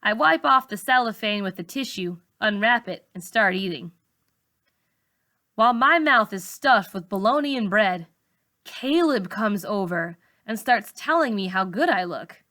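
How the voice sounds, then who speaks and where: Bright-voiced woman reading a story. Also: mid-range voice, lively intonation, a little slow. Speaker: teacher reading a novel aloud to students. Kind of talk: expressive audiobook-style narration.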